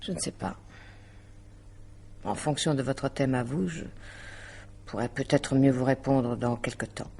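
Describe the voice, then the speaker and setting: An actress playing a composed, persuasive astrologer in a French radio drama, speaking in a measured, explanatory way. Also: female voice, a bass voice, mellow, slightly rough, heavily acted. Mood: grave, insistent. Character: composed, persuasive female astrologer consulting a client. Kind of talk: 1950s-style French radio drama acting.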